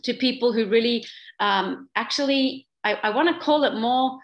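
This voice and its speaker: A woman's voice: a little high, moderate pace, highs cut off. A woman in her forties, from Switzerland, with a slight Australian twang, speaking as guest on a video call. She talks earnestly and with passion, with many fillers and restarts. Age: 40s. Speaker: guest speaker, social business founder. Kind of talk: online talk with a moderator.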